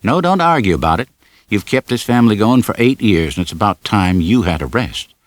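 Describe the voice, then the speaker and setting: A man's voice: on the low side, sing-song, a little fast, no echo, pitched above the performer's own voice. Man voicing a firm, caring younger sister. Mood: affectionate insistence.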